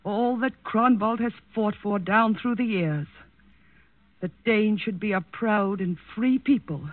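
A woman's voice: mid-range voice, unhurried, no echo, lightly acted. Woman as proud countess, dry vintage radio. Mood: bitter contempt. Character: proud, bitter countess, unhappy wife, defiant patriot. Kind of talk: 1940s radio drama acting. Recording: narrow-band vintage radio recording, dry.

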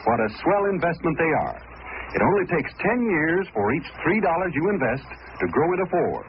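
An American man reading a scripted advertisement as an old-time radio announcer, with folksy, enthusiastic salesmanship. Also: on the high side. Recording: dull, noisy vintage radio recording.

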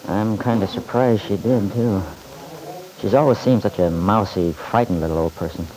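A man playing a down-to-earth, doubtful friend in a vintage radio serial. He talks informally in a low, dark, mellow voice. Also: moderate pace, natural voice, poor audio. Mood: doubtful, worried. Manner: informal, sceptical, slangy. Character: plain-spoken, worried male friend, doubtful and down-to-earth. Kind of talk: old-time radio drama acting.

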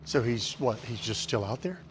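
An actor playing an anguished, weary pastor who leads survivors in an audio drama, speaking urgently with stammered repeats. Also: male voice, mid-range voice, animated, moderate pace, noisy background, lightly acted. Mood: worried questioning.

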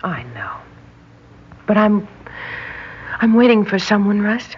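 An actress playing a gentle, wistful young woman in a 1960s radio drama, softly apologetic and hesitant. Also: mid-range voice, sing-song, takes it slowly, slightly rough, exaggerated voice. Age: young adult. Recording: dull vintage radio recording.

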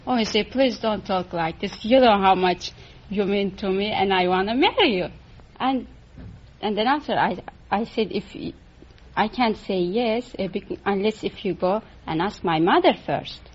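Halting foreign-accented woman, husky old recording. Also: mid-range voice. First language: not English. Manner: halting, simple broken English. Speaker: quiz show contestant. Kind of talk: storytelling in a radio interview. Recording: dull, muffled old radio recording.

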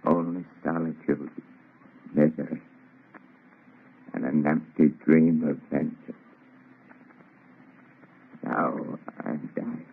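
A man playing a weary, dying old wanderer in an old radio drama, husky and mournful. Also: rumbling low, heavily acted. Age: older. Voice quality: husky, breathy. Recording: muffled lo-fi old radio recording.

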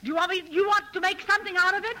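Shrill-pitched haughty, vain wife. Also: female voice, fairly even intonation, rapid-fire. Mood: indignant. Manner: affected, indignant. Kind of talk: 1940s radio comedy acting. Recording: noisy vintage radio before audience.

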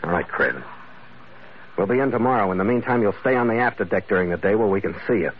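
An actor playing a firm, weary American ship's master in a 1940s radio adventure, with a terse, hard-boiled delivery. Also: male voice, on the low side, expressive, quick pace, noisy background, close to an everyday voice. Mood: stern, questioning. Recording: muffled old radio recording with loud music.

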